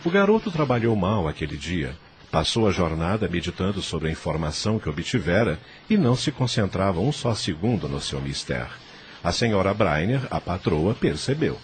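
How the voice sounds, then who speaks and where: A male narrator reads an audio novel in a low voice. His intonation is animated, rising and falling widely through the scripted prose. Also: moderate pace, slight background noise.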